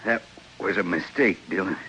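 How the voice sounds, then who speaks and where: An actor playing a menacing, insinuating western gunfighter in an old-time radio drama, taunting and deliberate. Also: male voice, low-pitched, background noise, lightly acted.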